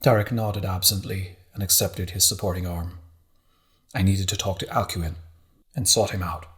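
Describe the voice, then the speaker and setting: Low-pitched man reading a literary audiobook. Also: steady tone, normal speed, fairly bright tone. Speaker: audiobook narrator. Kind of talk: scripted literary narration.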